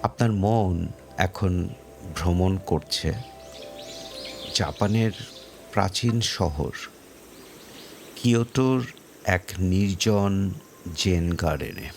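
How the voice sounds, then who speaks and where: Very slow, low-voiced man guiding relaxation. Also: lively intonation, darkish tone. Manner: measured, pausing between short phrases. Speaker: guided relaxation narrator. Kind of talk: slow guided meditation narration. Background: faint music.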